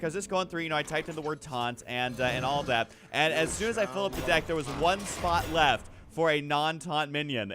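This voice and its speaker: A man's voice: a little high, noisy background. A man giving casual, self-deprecating commentary over gameplay as a video host, prone to joking exaggeration. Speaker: host of a gaming video channel.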